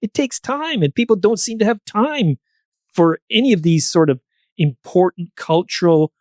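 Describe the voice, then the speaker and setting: A man's voice: high voice, lively intonation, moderate pace, darkish tone, dry recording. Musing man in a very dry acoustic. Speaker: podcast speaker. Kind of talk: casual panel conversation.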